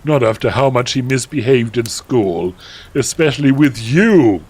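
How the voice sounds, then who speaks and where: A man's voice: mid-range voice, animated, measured pace, darkish tone, breathy edge, voice pushed up. A man voicing a mean old wizard headmaster from fan fiction, angry and contemptuous. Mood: scornful.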